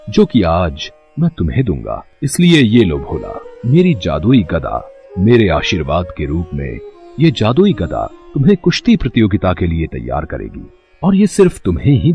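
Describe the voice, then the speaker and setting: A man's voice: low-pitched, warm tone, lo-fi sound, full character voice. A man voicing a mighty, benevolent monkey god in a devotional cartoon story, speaking in a solemn, stately way. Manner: solemn, stately, formal blessing-giver.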